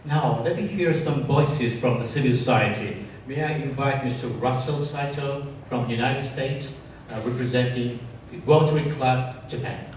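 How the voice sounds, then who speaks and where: A man's voice: mid-range voice, normal speed, darkish tone. A man acting as moderator, formally introducing and thanking guest speakers at an event in a large hall. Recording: echoey hall, distant, faint crowd and noise.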